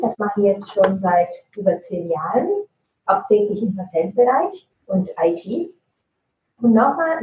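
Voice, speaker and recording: A woman's voice: mid-range voice, mellow. A woman from Italy, an Italian native speaker, answering interview questions as a translator and trainer, thoughtful with frequent hesitation fillers. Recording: roomy, distant, dull lo-fi sound.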